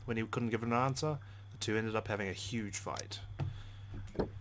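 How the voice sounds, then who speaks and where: A young man hosts a let's play, reading narration aloud and dropping in casual reactions. His voice is fairly low and he moves through the text quickly, with faint noise in the background. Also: a bit bright.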